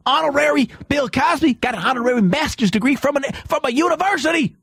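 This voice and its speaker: A man's voice: very high voice, animated, fast talker, a bit bright. A man hosting a casual panel talk show, blunt and opinionated, who swears and cuts in on others. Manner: blunt, profane, interrupting.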